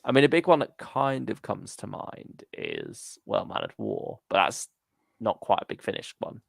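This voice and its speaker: A man with a lowish, very creaky voice hosts a relaxed fan chat. He talks informally and tentatively, working his thoughts out aloud. The sound is dry and close, with hardly any room on it. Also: moderate pace, dry recording. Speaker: host of a fan discussion livestream. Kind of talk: casual chat.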